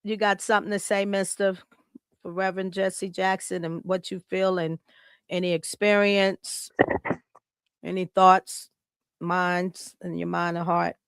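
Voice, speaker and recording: A woman's voice: fairly low pitch, level tone, measured pace, clear, resonant tone, no room sound. A woman from Chicago co-hosting a live podcast, talking casually and reading a heartfelt written tribute aloud. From Chicago, United States. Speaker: podcast co-host.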